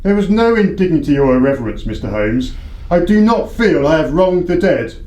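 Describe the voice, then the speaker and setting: A male actor as a proud aristocrat cornered by debt, in a radio play. His voice sits fairly high, with formal, imperious and defensive delivery, and the room echoes clearly around him. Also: sing-song, normal speed, warm tone. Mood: solemn, defensive. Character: proud, desperate English baronet, indebted horse owner.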